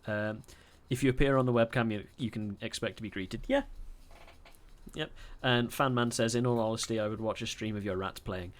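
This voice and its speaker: A man's voice: lowish voice, fairly quick. A man streaming a space game, chatting casually, reading chat aloud and laughing often.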